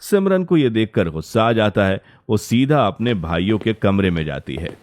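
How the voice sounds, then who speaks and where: A man's voice: fairly low pitch, very animated, normal speed, a little mellow, slight background noise. A man narrating a scripted Hindi cartoon story as its storyteller.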